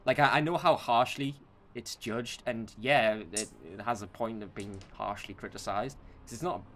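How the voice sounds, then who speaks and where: A man's voice: lowish voice, moderate pace, fairly bright tone. A man co-hosting a podcast in casual chat about films and games, often repeating words and restarting as he goes. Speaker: podcast co-host.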